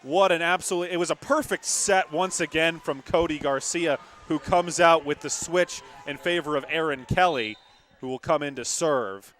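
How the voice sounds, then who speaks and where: A young man calls a volleyball match live in a slightly high voice. He is emphatic, mixing the action with conversational remarks to his partner. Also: moderate pace, fairly bright tone. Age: young adult. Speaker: volleyball play-by-play announcer. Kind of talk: live sports commentary.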